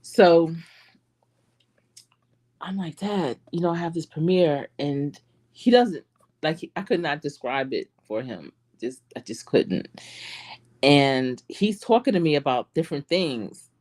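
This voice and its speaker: A woman's voice: sits low, measured pace, long pauses. A middle-aged woman with a Charlotte accent, a life coach chatting casually to live stream viewers and telling stories with lots of laughter. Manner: animated storytelling with frequent laughs.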